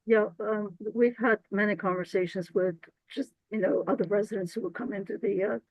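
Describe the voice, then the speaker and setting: A woman presenting a grant application to council, her voice hoarse and scratchy today, talking earnestly and restarting phrases as she goes. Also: mid-range voice, fairly even intonation, fairly quick, no room sound, lo-fi sound.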